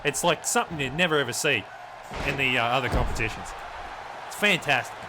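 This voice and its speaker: A man's voice: mid-range voice, lively intonation, brisk, noisy background, natural voice. Man putting on a foul-mouthed Aussie commentator. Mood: crude banter. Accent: Australian. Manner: profane, blokey, rambling. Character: crude swearing Australian male wrestling commentator. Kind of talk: video game wrestling commentary.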